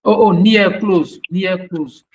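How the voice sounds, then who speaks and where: Male language student, slightly high voice, unhurried halting delivery, repeating words as he answers exercises. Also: crowd noise. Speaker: student, air traffic controller.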